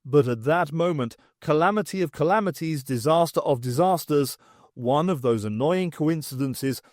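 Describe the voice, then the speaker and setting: Animated, higher-voiced man narrating a story. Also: moderate pace, dry recording, clean studio sound. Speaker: audiobook narrator. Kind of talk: scripted dramatic story narration.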